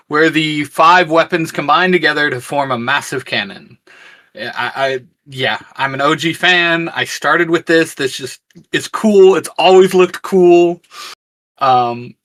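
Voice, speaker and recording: A man's voice: on the high side, bright, forceful tone. A man co-hosting a casual, geeky fan discussion and talking through his own picks. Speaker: co-host giving picks. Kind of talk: casual geek chat.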